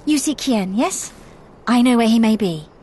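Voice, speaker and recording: An actress playing a quiet, guarded tribeswoman in a fantasy game, speaking in terse, measured, cryptic phrases. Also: fairly high pitch, expressive, breathy edge. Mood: reserved, calm. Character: quiet, guarded tribeswoman of humble steppe people. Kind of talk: fantasy game cutscene acting.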